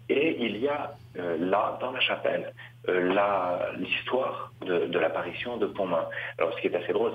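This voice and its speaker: Deep-voiced hesitant priest on radio. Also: male voice, slight background noise. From western France. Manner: hesitant, many pauses and restarts. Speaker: Dominican priest, guest. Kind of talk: radio interview. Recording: roomy, slightly dull radio sound.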